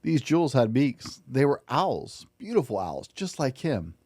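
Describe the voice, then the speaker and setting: Male storybook reader, dark soft tone, gentle expressive bedtime delivery, dry sound. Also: mid-range voice, measured pace, no echo. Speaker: podcast host and storybook reader. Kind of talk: expressive read-aloud of a children's picture book.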